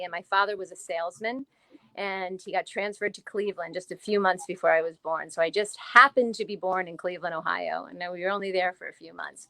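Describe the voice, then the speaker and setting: A woman's voice: lowish voice, vocal fry, no echo. Chatty woman in her forties. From New Jersey, US. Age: 40s. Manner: chatty, animated storyteller, laughs often. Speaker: guest, author. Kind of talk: casual interview storytelling.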